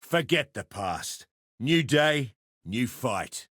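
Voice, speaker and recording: A man playing a cocky, menacing arena brawler in a video game, gravelly and taunting in short punchy barks. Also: medium pitch, big pitch swings, very slow, fairly bright tone, no echo, clean studio sound, exaggerated voice. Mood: menacing relish.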